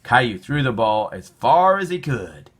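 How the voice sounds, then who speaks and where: Very animated male storybook narrator. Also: mid-range voice, measured pace. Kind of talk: expressive children's read-aloud narration.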